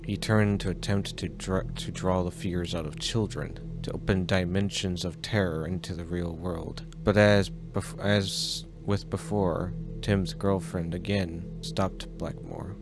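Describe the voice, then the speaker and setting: A man acts a grave, formal storyteller in a horror drama. His low voice delivers scripted exposition emphatically over prominent music. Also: steady tone, measured pace, hardly any pauses. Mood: grave, ominous. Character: formal, grave male informant recounting a madman's crimes. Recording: music playing behind the voice.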